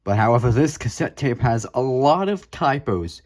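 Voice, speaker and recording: A man's voice: medium pitch, animated, normal speed, a little mellow. A man casually talking through his cassette collection in a video, offhand and sarcastic, with dry mumbled asides. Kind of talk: casual show-and-tell vlog.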